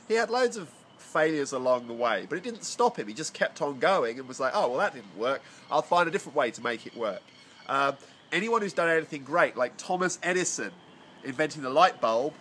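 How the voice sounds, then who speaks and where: Chatty male vlogger, slightly high, bright voice, nasal from a cold, rambling delivery. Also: slight background noise. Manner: chatty, rambling, many fillers and restarts. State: congested with a cold. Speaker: vlogger reviewing a self-help book. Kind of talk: casual to-camera chat. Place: home garden area.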